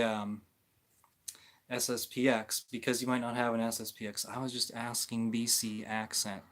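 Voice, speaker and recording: Male livestream host, mid-range voice, informal and unscripted, feeling his way through each point with halting rephrasing. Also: mid-range voice, a bit bright. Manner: hesitant, halting, often rephrasing mid-sentence. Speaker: livestream host answering viewer comments. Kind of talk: casual, rambling opinion talk on religion.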